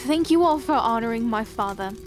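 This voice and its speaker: A woman's voice: fairly high pitch, animated, a little fast, close to an everyday voice. A woman playing a regal young queen. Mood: resolute. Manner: formal, regal and earnest. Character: young grieving queen, dignified and resolute. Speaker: newly crowned queen. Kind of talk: fantasy audio drama acting. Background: music.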